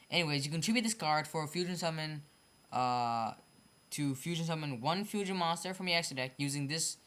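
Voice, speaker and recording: Bright-voiced, stumbling card game explainer. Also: mid-range voice. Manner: repetitive, self-correcting, stumbles over phrasing; apologetic about own mistakes. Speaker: hobby card game video creator. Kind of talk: casual off-the-cuff explainer of custom card designs.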